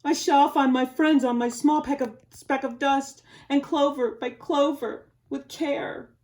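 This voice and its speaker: A woman's voice: high-pitched, steady tone, unhurried, darkish tone, voice pushed up. A woman voicing a big, kind-hearted, loyal male elephant who speaks earnestly and with emphasis.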